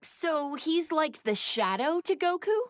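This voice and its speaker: A woman's voice: sits high, expressive, unhurried, no echo, studio quality. An actress voicing a clever, sassy young woman scientist in an anime game, with a wry, chatty, slightly mischievous manner. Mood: sassy, teasing. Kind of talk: scripted game voice lines.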